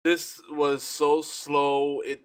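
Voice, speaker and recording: A man chatting casually as a panelist, halting as he searches for his words. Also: fairly high pitch, flat delivery, smooth. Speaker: panelist guessing in a trivia game. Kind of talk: casual chat.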